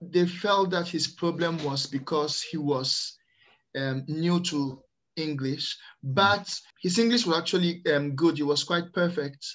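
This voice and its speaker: Male interview guest, middle-aged, fairly high register, measured and halting personal account over a video call. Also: a bit bright. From Ghana, the Netherlands and France. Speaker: interview guest, early years teacher. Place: small plain room indoors, on a video call. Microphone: computer microphone on a video call.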